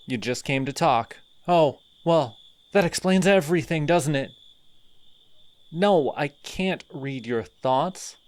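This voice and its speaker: A man voicing a sardonic male telepathic villain in an audio roleplay, mocking and deliberate. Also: fairly high pitch, expressive.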